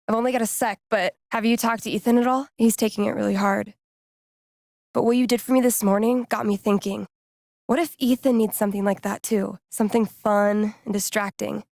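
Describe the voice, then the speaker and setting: A woman's voice: medium pitch, fairly even intonation, moderate pace, a little mellow, no room sound, clean studio sound, natural voice. An actress playing a wry, casual young woman in a video game, teasing and loyal. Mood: warm, earnest. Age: young adult. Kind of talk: naturalistic video game dialogue acting.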